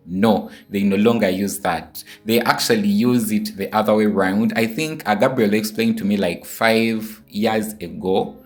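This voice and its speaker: A man in his twenties from Uganda, talking casually and directly to viewers in a travel vlog. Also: lowish voice. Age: 20s. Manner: chatty, repeats place names, addresses viewers directly. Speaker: vlogger.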